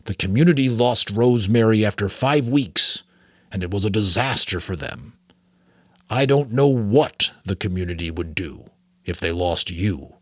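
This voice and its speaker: A man voicing a grave, weary, thoughtful old mentor in an audiobook, speaking in a deliberate, solemn way. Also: fairly low pitch, no echo, lightly acted. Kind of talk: audiobook character reading.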